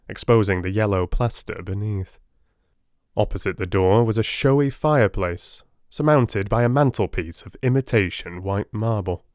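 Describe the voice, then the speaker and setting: Male narrator with a lowish pitch for dramatised classic fiction, theatrical delivery, very dry recording. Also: no room sound. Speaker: audiobook narrator.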